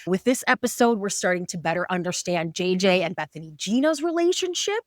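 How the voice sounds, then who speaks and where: A woman's voice: lowish voice, lively intonation, a little fast, no echo, studio quality. A woman hosting a podcast, talking in a conversational interview style as she recaps a show. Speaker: podcast host.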